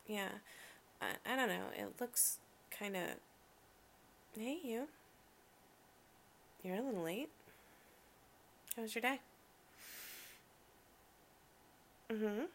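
A woman playing an affectionate but dominant partner in an intimate audio roleplay, with a creaky voice and a deliberate, controlled delivery. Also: on the high side, slight background noise. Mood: casual, affectionate. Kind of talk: intimate solo audio roleplay addressed to the listener.

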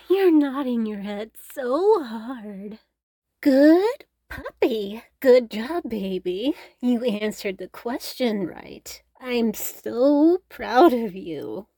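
A woman playing a doting, teasing, dominant girlfriend in an intimate roleplay audio, cooing and coaxing, sometimes slipping into soft whispers. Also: medium pitch, breathy edge, no room sound, clean studio sound, heavily acted. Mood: playful, coaxing. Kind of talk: intimate scripted roleplay audio addressed to the listener.

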